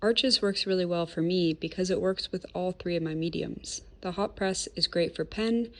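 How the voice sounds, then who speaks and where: A woman's voice: lowish voice, moderate pace. A young woman from California with an American accent, an artist giving a friendly, conversational sponsored testimonial with occasional fillers.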